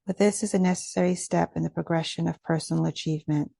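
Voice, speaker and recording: Low, mellow woman guiding a meditation. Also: fairly even intonation, vocal fry, dry recording. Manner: gentle, measured, instructional delivery. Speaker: meditation guide. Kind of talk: guided meditation.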